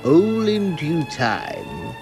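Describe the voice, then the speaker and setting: Man acting a slow, oily scheming guardian. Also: a little high, wildly swooping pitch, music in the background, full character voice. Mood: oily courtesy. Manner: oily, formal, theatrical. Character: smooth, scheming villainous guardian, falsely courteous gentleman. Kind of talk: old-time radio melodrama acting.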